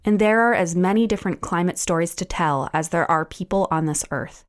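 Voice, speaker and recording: A woman co-hosting and narrating a documentary series trailer in a steady, earnest scripted read. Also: lowish voice, brisk. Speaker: co-host and narrator.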